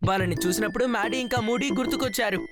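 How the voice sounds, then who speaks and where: A woman's voice: mid-range voice, racing, natural voice. A woman voicing a confident, level-headed child hero in a cartoon. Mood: thoughtful. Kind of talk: cartoon dub acting. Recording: music under the voice.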